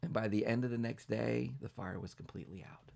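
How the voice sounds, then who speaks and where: A man telling a children's bedtime story as a pastor, building it up dramatically from hushed to emphatic. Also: on the low side, brisk, gravelly.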